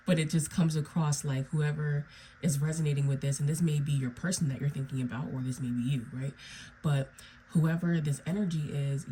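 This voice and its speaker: Woman, tarot reader, low and mellow tone, slightly raspy from a cold, rambling delivery with frequent "okay?", faint hiss behind. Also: low-quality recording. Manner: meandering, repeats "okay?" and "right?". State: under the weather, raspy. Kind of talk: solo tarot reading to viewers.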